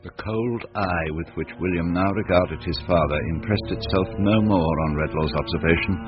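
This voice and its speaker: Male narrator, low voice, dramatic scripted storytelling, muffled radio sound, faint music. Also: moderate pace.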